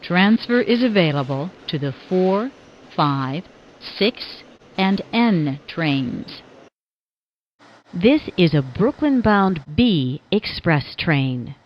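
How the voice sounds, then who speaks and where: A woman makes scripted train announcements at an unhurried, measured pace. Her pitch is on the low side and swings noticeably within each carefully enunciated, formulaic phrase. The audio is somewhat dull and lo-fi. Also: big pitch swings, slight background noise.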